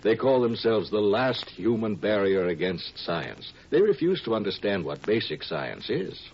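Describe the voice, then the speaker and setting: An actor playing an earnest, lovestruck man of the far future in 1950s radio drama style, speaking in a halting, persuasive way. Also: on the low side, big pitch swings, muffled recording, slight background noise. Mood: tender, curious.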